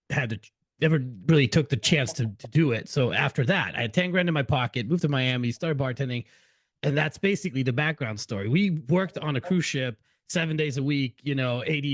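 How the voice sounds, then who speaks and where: A man's voice: mid-range voice, no echo. Chatty Hungarian-raised man, dry sound. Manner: chatty, loose, restarts sentences often. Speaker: podcast host. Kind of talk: casual interview chat.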